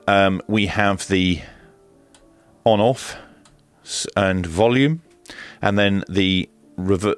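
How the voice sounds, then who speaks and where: A man presenting a hands-on product review in a casual, hesitant way with dry, understated humour. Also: low voice. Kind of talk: casual hands-on unboxing commentary.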